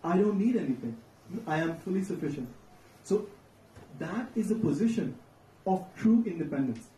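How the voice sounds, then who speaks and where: A man's voice: sits high, expressive, moderate pace, dark tone. A man giving a devotional sermon as a religious lecturer, emphatic and repeating phrases for effect. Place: large temple hall. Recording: echoey hall, distant mic, faint noise.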